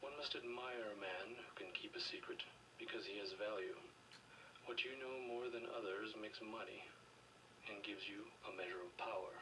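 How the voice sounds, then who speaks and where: A man acting as a cold, middle-aged government official with a low voice, interrogating in a video game. He is measured, condescending and quietly threatening. The audio sounds distant and noisy. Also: fairly even intonation, lightly acted. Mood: cold menace.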